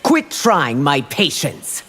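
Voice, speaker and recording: Slow, imperious empress. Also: female voice, sits low, huge pitch swings, a bit bright, a little hiss or hum, full character voice. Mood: stern warning. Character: imperious, protective female empire ruler. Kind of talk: fighting game character acting. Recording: long hall reverb, faint music.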